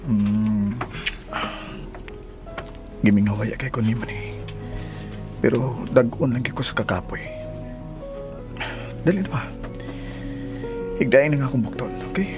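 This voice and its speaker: An actor playing a soothing, apologetic young husband in a radio drama, placating and a little hesitant. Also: male voice, medium pitch, measured pace, pauses often, over music, lightly acted. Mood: coaxing.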